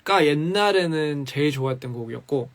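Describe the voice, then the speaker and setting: A man with a slightly raspy, hoarse voice after a recording session, chatting casually with fans on a live stream, moving between Korean and English. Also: a little high, expressive, racing. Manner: switches between Korean and English, laughs often, reads comments.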